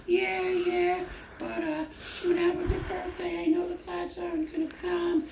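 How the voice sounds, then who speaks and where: A woman's voice: very high voice, level tone, unhurried, vocal fry, darkish tone, slight background noise, heavily acted. A woman voicing a caring, protective mother who gives soothing, urgent reassurance, partly whispered. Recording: roomy, distant home recording.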